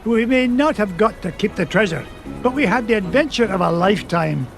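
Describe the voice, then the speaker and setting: An actor playing a feisty old Scottish duck, a rich adventuring uncle, crotchety and indignant in a cartoon game. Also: male voice, high-pitched, animated, a little fast, gravelly. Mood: fond, warm. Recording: music behind the voice.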